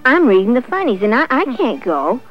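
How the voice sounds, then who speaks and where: A woman's voice: medium pitch, very animated, normal speed, close to an everyday voice. A woman voicing a busy, unhelpful male cat in a children's story, full of dismissive excuses. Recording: dull lo-fi sound with faint music.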